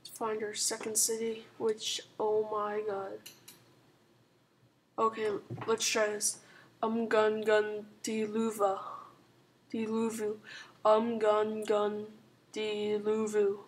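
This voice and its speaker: A hoarse woman who is clearly sick talks quietly through a strategy game, rambling and hedging as she goes. Her voice is flat and even, with little rise and fall, over a faint hiss. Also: mid-range voice, slow, pauses often. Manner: rambling, full of "like" and "um", soft-spoken. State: hoarse and sick, sore throat. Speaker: gaming channel host. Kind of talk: casual strategy game commentary.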